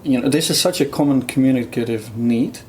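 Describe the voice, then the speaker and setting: A man's voice: mid-range voice, a little fast, darkish tone, slight background noise. A man whose first language is Dutch, explaining research in a thoughtful conversational way, with many fillers and restarts. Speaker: guest researcher.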